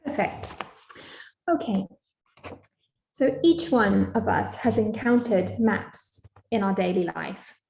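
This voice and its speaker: A young Scottish woman giving an online academic lecture over a video call, measured, with frequent ums. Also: mid-range voice, expressive, creaky, a little mellow, roomy sound, rough recording. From Scotland.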